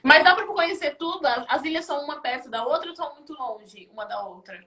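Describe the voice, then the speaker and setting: A woman's voice: sits high, expressive, quick pace, pushed and bright. A young Brazilian woman with a Brazilian Portuguese accent, chatting casually as a guest on a live stream and asking lots of questions.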